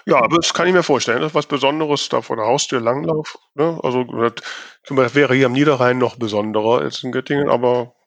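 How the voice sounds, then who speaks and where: A man's voice: mid-range voice, brisk, hardly any pauses, no room sound. A man hosting a casual podcast conversation, often hesitating and searching for his words. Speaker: podcast host.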